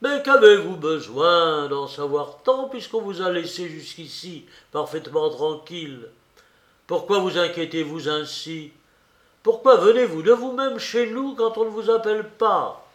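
A man's voice: fairly high pitch, slow pace, a bit bright. A man voicing a mocking, falsely jovial examining magistrate who goads a suspect in a theatrically familiar way, in an audiobook. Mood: goading, amused. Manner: goading, chuckling, theatrically familiar. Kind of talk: dramatized audiobook reading. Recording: roomy, echoing voice recording.

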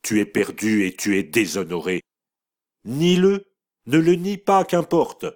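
A man's voice: sits low, animated, measured pace, breathy edge, heavily acted. A man reading an audiobook voices a harsh, imperious mother turned accuser, speaking in a cold, relentless, commanding way. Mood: furious.

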